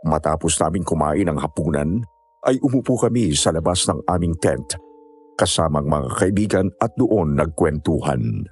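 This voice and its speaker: A man's voice: very deep voice, lively intonation, normal speed, a little mellow, soft background music. A man with a Filipino accent playing a hiker who narrates an eerie mountain tale in scripted first person. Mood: measured storytelling. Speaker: story narrator.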